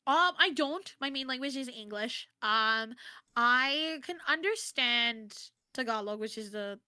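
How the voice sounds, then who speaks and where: A woman's voice: a little high, lively intonation, a little slow, bright, forceful tone, clear, resonant tone, dry recording, clean studio sound. An American woman chatting casually and expressively as a guest on an interview stream. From United States. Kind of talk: casual chat.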